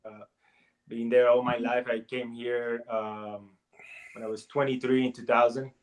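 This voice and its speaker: A man's voice: medium pitch, flat delivery, measured pace, rough recording. A man in his forties from Puerto Rico, a native Spanish speaker, talking as a guest in a casual interview with many restarts and fillers. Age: 40s. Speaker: guest artist.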